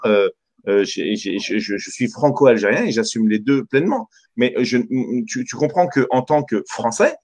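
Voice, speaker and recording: A man born in Algeria hosting a radio debate, interrupting often and stammering as he argues. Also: mid-range voice. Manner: interrupts, stammers, repeats himself. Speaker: radio host.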